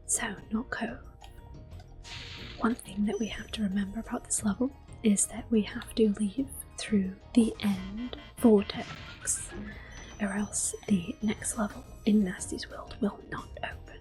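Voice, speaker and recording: A woman giving gentle, unhurried, soft whispered ASMR commentary on a game as the host. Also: mid-range voice, fairly even intonation, soft background music, rough recording.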